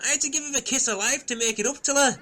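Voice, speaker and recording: A man's voice: extremely high pitch, rapid-fire, sharp tone, slight background noise, voice pushed up. A man voicing a blunt, foul-mouthed male castaway who rants with scorn. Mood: indignant. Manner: sweary, blunt, scornful ranting.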